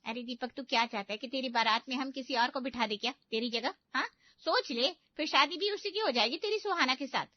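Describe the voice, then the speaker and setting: महिला द्वारा तीखी, ऊँची आवाज़ वाली रौबदार दीदी. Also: female voice, high voice, fairly quick, dry recording, lightly acted. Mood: scolding. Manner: scolding, sarcastic. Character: bossy, teasing elder sister of the groom. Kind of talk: animated Hindi comedy story dialogue. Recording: dull, lo-fi studio voice-over.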